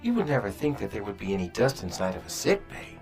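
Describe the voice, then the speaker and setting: A man's voice: lowish voice, sing-song, fast talker, a little mellow, faint music behind. A man playing a wry, kindly rabbit doctor aboard a starship in a sci-fi audio drama, muttering expressively.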